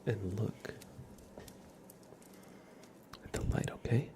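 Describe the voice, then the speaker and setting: A man hosting an ASMR livestream in a breathy whisper, speaking gently with playful mock-seriousness as he acts out little roleplays. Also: moderate pace. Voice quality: breathy whisper, mostly unvoiced.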